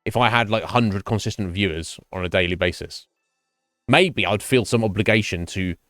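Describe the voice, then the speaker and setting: A man streaming live and chatting with viewers, thinking his answers through in a halting, thoughtful way. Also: fairly low pitch, fairly bright tone, no echo, studio quality, faint music behind. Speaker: Twitch streamer.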